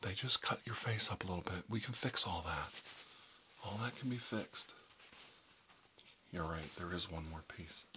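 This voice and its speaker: Male caregiver, soft soothing whisper, lowish pitch, faint noise floor. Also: moderate pace, long pauses. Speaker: roleplay caregiver. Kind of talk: whispered medical roleplay.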